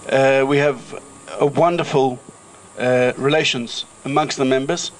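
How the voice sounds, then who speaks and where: A middle-aged South African man tells stories and thanks the crowd in a loose, rambling way, full of ums and restarts. His voice sits at an ordinary pitch, and faint insect and cricket noise hums in the outdoor air behind him. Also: steady tone, measured pace, low-quality recording. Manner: chatty anecdotal storyteller with filler-laden restarts. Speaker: kibbutz chairman. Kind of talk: speech at an outdoor reunion gathering.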